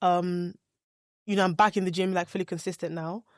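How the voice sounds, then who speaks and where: A woman's voice: on the low side, steady tone, a little fast, no room sound, studio quality. A woman co-hosting a podcast in casual chat, chatty and rambling with many restarts. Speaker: podcast co-host.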